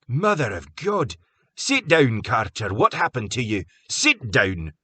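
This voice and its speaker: A worried village man who fusses and urges a hurt friend to sit, as a narrator puts him on. His voice rides well above the narrator's usual low one. It comes across bright and dry. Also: very animated, a little slow, husky, in a large hall, full character voice. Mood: urgent. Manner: urgent, fussing. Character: anxious, caring village man.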